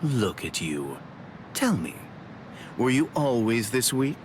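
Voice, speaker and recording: An actor playing a sly, mocking villain's lieutenant in an anime game dub, with a teasing, falsely casual drawl. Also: male voice, mid-range voice, big pitch swings, slow pace, noisy background.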